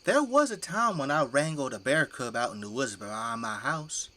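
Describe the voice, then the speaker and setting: Casting: a jovial, folksy Midwestern dad in an audio play, middle-aged, mid-pitched, full of teasing jokes. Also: male voice, lively intonation, moderate pace, bright, forceful tone. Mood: jovial, frank. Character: folksy, jovial Midwestern family man, zombie-fighting janitor. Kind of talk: audio drama acting.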